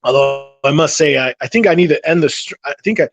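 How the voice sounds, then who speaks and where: A man's voice: fairly high pitch, quick pace, no echo. A man hosting a casual late-night livestream, rambling and restarting his sentences, reading out chat messages, his voice getting worn after a long session. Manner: rambling, repeats himself, restarts.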